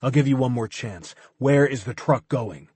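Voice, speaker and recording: A man voicing a calm, threatening male CIA agent who speaks in a controlled, clipped way. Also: mid-range voice, normal speed, breathy edge, dry recording. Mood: cold.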